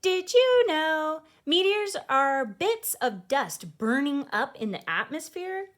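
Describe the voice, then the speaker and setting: A woman voicing an excited, confident little girl who loves space, in an animated storybook read-aloud. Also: sits high, takes it slowly, voice pushed up, heavily acted. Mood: eager, explaining.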